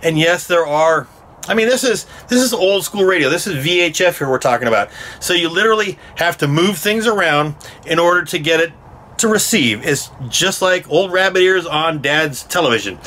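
Middle-aged American male DIY vlogger, bright forceful voice pitched a bit high, folksy explaining, noisy phone recording. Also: lively intonation, moderate pace. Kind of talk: casual explanatory how-to talk. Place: inside a small aluminum cargo or horse trailer. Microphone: phone at arm's length. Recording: noisy small metal trailer interior.

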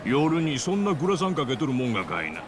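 A man's voice: mid-range voice, wildly swooping pitch, fast, over music. An actor playing a teasing, easygoing, nosy male street local with a Kansai accent in a video game. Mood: suspicious, amused. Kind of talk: video game drama acting.

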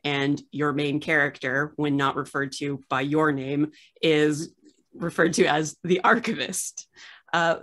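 A woman's voice: deep voice, a bit bright. A woman in her thirties hosting a casual video-call interview from home, chatty with lots of filler uhs and self-deprecating jokes. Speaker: host. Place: home room with framed art on the wall.

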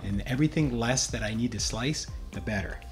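A man in his fifties walks through recipes one step at a time, in the easy, explanatory way of a home cooking host. His voice sits at a middle pitch and is recorded close in a kitchen, with faint music underneath. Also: a little fast. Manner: friendly, explanatory, step-by-step instructions. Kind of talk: casual cooking demonstration.

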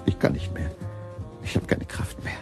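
An actor playing a bitter, obsessed waiter turned killer in a crime radio play, with a gravelly, brooding, intense delivery. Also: male voice, normal speed, darkish tone, natural voice. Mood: anguished. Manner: brooding, intense, swinging into agitation. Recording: music behind the voice.

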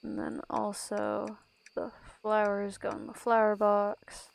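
A woman's voice: medium pitch, monotone, slow, gravelly. A young woman from Ireland chatting casually while streaming a game, rambling and breaking off mid-thought. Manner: rambling, self-interrupting, laughs often. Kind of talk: casual gameplay chat.

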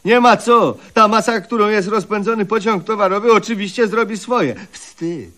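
A man's voice: high-pitched, moderate pace, fairly bright tone. An actor playing a bossy, self-pitying, melodramatic man in a Polish radio comedy, peevish and histrionic. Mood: indignant.

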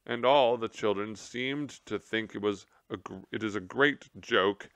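A man's voice: fairly low pitch, unhurried, fairly bright tone, no echo. A man voicing a pompous, self-pitying old male mouse general who talks in a grand, theatrical wail. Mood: aggrieved. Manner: theatrical, wailing, grandly indignant.

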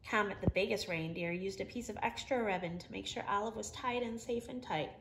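A middle-aged woman reading a children's story aloud in an expressive, emphatic storytelling manner. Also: medium pitch, fairly quick, vocal fry, background noise. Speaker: storybook reader. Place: living room, indoors.

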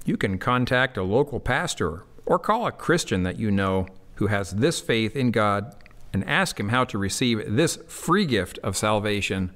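A man's voice: fairly low pitch, lively intonation, normal speed, fairly bright tone. A man playing an earnest, helpful believer who shares his faith in a scripted amateur radio drama. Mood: encouraging.

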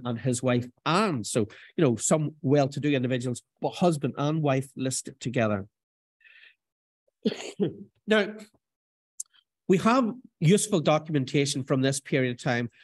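A man's voice: on the high side, darkish tone. A man giving a conversational lecture, explaining old records over slides with frequent restarts. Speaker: genealogy workshop presenter.

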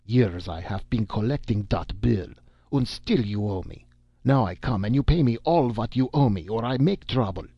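A man's voice: on the low side, animated, moderate pace, husky, pitched above the performer's own voice. A man voicing an angry, insistent elderly German American tattooist with a German accent in a storybook reading. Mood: angry, demanding. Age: older. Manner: indignant, insistent. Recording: dull, roomy amateur audiobook recording.

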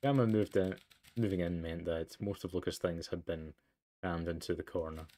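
A man's voice: low-pitched, steady tone, moderate pace, dark tone. A man doing let's play commentary and reading a game's narration aloud. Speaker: let's player. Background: game music.

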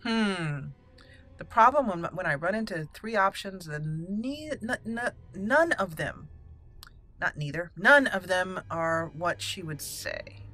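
A woman hosting a roleplay let's play, musing deliberately and slipping into her character's dialogue. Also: lowish voice, lively intonation, bright, forceful tone. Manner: musing, deliberate, thinking aloud. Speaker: gaming channel host roleplaying a character.

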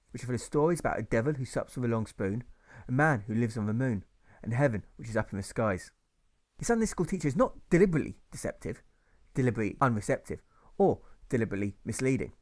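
Male audiobook narrator, rhythmic delivery. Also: medium pitch. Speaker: author reading his own audiobook. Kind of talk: scripted narration of rhyming novel.

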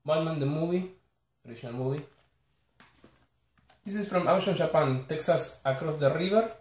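A man's voice: on the high side, darkish tone. Argentine man, echoey small room. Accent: Argentine Spanish. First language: Spanish. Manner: halting, apologetic, lists titles one by one. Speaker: collector showing a haul. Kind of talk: casual chat to camera. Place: small bedroom indoors.

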